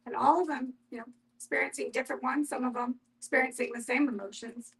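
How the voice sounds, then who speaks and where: A woman speaks in a somewhat high-pitched voice as the facilitator of a group discussion. She sounds conversational, asking questions and drawing others in to answer. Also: fairly even intonation, fast talker, poor audio. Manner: questioning, inviting responses.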